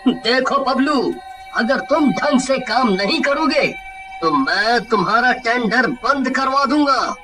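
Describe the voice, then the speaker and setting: Timid, earnest engineer, played by a man, unhurried dramatic delivery, music behind. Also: very high voice, lively intonation, exaggerated voice, low-quality recording. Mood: stern. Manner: stammering, dramatic. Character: timid, earnest male engineer facing a witch. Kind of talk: animated horror story dialogue.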